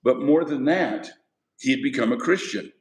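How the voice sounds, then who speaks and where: A man teaching history to a class, talking in an easy, conversational way and stopping to quiz his students. His voice sits at a middle pitch. The classroom gives it a clear echo. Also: normal speed. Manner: conversational, asks the class questions. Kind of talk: classroom lecture. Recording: echoey classroom.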